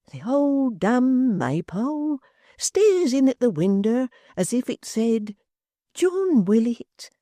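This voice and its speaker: A woman's voice: a little high, dark tone, no echo, clean studio sound, pitched above the performer's own voice. Woman playing a dazed old innkeeper man. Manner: slow, ponderous, mechanical muttering.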